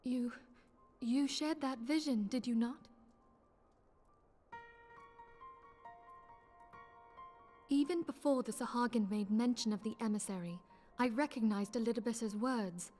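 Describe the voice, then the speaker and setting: A woman's voice: on the high side, moderate pace, mellow, soft background music, natural voice. An actress playing an earnest, resolute noblewoman who leads a fantasy order in a video game, with stately old-fashioned speech. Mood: shaken, intense. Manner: formal, stately archaic diction. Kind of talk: dramatic video game voice acting.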